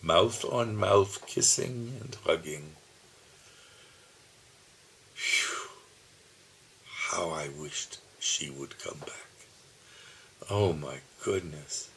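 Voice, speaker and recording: A man in his seventies, reminiscing slowly about his childhood, pausing often with soft chuckles, who calls his own voice dull. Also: deep voice, gravelly, a little hiss or hum. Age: 70s. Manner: slow, musing, with soft chuckles and pauses. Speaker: video diarist. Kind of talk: reflective personal reminiscing. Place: home, seated at his computer. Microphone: computer camera mic.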